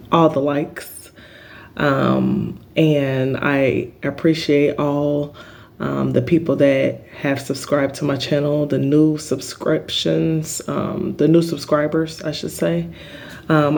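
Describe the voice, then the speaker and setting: A middle-aged man speaks earnestly about spiritual healing to his channel's viewers, pausing often on filler ums. His voice is big and pitched a little high for a man, with an even, level tone that barely rises or falls. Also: vocal fry. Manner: earnest, halting, many um fillers. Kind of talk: spiritual healing vlog monologue.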